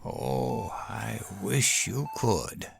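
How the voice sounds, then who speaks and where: A man playing a gentle, dying old grandfather in an audio drama, halting and tender, with a creaky voice. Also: on the low side, heavily acted. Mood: wistful.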